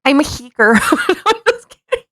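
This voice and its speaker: A woman's voice: high voice, very animated, normal speed, fairly bright tone, no room sound, clean studio sound. A woman co-hosting a podcast, reading aloud mockingly with playful, casual banter and a tendency to stumble over words. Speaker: podcast co-host.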